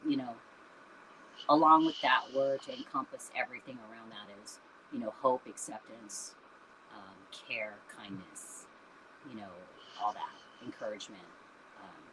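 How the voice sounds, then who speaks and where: A woman in her fifties talks openly about her music and her life in a bright, tense-edged voice. She is earnest and reflective, her sentences loose with fillers. There is a faint hiss of noise behind her. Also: rumbling low, low-quality recording. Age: 50s. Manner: earnest and reflective, with frequent "you know" and "um". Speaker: singer and band founder, interview guest. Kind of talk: candid conversational interview answers.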